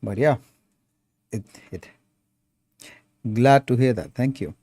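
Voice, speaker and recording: A young man with an Indian accent chats loosely while he works, his voice warm and mellow. He reads viewer messages aloud and throws in "bro" as he goes. The sound is dry and close, with no room around it. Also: medium pitch, takes it slowly, no echo. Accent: Indian English. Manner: laid-back, calls viewers bro, reads chat aloud. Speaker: sculpting streamer. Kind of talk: casual livestream chat.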